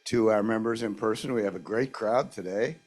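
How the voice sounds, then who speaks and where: A man hosts an event and introduces the guest speaker, reading out the speaker's background in a courteous, measured way. His voice sits a little on the low side. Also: darkish tone. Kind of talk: formal introduction.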